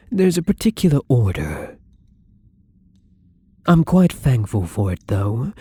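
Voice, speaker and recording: A man playing a shy, gentle, newly turned vampire in an intimate ASMR roleplay, speaking softly and haltingly in a breathy voice that often slips into whispers. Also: fairly low pitch, dry recording, full character voice. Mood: nervous, polite. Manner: hesitant, halting, polite, soft-spoken.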